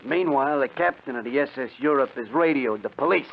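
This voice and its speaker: An actor playing a skeptical, sardonic gangster, blunt and slangy, in a 1950s radio drama. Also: male voice, medium pitch, lively intonation, fast, creaky, phone-quality sound. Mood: doubtful, challenging. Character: skeptical, sardonic, tough male gangster henchman. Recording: phone-thin, noisy 1950 radio recording.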